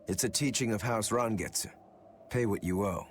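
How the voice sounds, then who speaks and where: An actor playing a laid-back, dryly wry adventurer in a video game. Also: male voice, lowish voice, moderate pace, a little hiss or hum, lightly acted. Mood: relaxed. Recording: music playing behind the voice.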